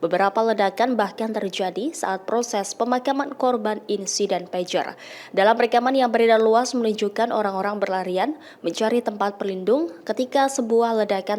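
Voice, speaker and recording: A woman's voice: mid-range voice, darkish tone. A woman reads scripted news narration as a voice-over.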